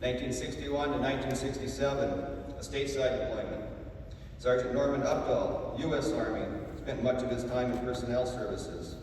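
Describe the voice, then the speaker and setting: A man delivering a formal, prepared tribute speech in a measured, solemn way. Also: medium pitch, darkish tone. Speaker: ceremony speaker. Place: large indoor hall at a museum. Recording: roomy echoing hall, distant mic, faint noise.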